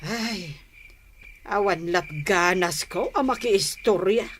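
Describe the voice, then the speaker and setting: A woman's voice: medium pitch, takes it slowly, a bit bright, slight background noise. A woman playing a sour, disapproving middle-aged mother-in-law in a radio drama, grumbling and exclaiming with an Ilocano Filipino accent. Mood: irritated. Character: sour, disapproving mother-in-law gossiping about her son's bride. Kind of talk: Philippine radio drama acting.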